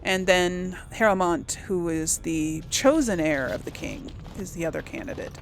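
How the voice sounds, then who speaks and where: A woman's voice: on the low side, moderate pace, slight background noise. A woman doing casual video game commentary, rambling and thinking aloud in an unsure way. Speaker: video game let's-play host.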